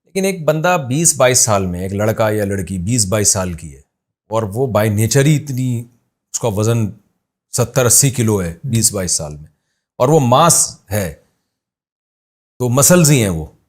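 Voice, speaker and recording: A man's voice: fairly low pitch, animated, unhurried, a little mellow. A man hosting a podcast, asking questions and joking, often affirming with short replies. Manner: jokey, affirming with frequent "ji". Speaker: podcast host. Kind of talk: interviewing, casual chat.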